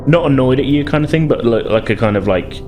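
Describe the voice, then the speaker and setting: A man's voice: mid-range voice, expressive, quick pace, over music. A man narrating a tabletop roleplaying game as game master, improvising in hesitant, piecemeal phrases.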